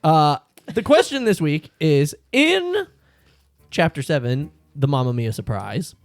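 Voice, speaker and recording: A man's voice: on the high side, sing-song, unhurried, no room sound, faint music behind. A man hosting a casual podcast, teasing his friends and running a trivia segment. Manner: teasing, playful. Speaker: podcast host.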